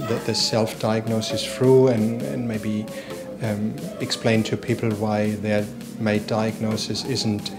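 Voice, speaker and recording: Hesitant vet with a flat, even voice. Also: male voice, medium pitch. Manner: hesitant, many ums, measured. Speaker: vet and practice owner. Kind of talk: interview answers. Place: veterinary surgery room. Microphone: handheld furry mic off camera. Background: music bed.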